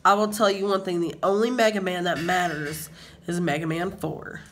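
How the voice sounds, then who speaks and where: A man's voice: high-pitched, steady tone, normal speed, fairly bright tone, a little hiss or hum. A young man with a sinus infection, chatting and joking drily at home into a phone camera. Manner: dry joking, playful. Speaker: vlogger's partner. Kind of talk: casual banter. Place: home, indoors.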